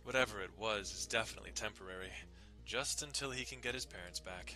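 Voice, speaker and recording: A man's voice: fairly low pitch, fast talker, bright, forceful tone, faint music behind. A man giving a dramatic scripted narration of a visual novel. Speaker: narrator doing dramatic readings.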